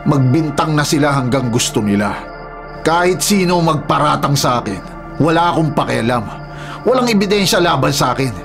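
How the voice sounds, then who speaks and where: An actor with a Filipino accent playing a ruthless, defiant illegal logger and domineering husband in a Tagalog radio drama, menacing and scornful. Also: male voice, a little high, animated, normal speed, gravelly. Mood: defiant, cold. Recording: dramatic music behind the voice. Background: music.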